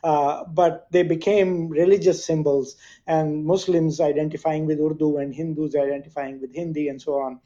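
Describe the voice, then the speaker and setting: Male guest, measured lecturing delivery, warm dark tone, dull lo-fi recording. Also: fairly high pitch, flat delivery. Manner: measured, lecturing with frequent uhs. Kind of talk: explanatory discussion. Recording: dull lo-fi audio.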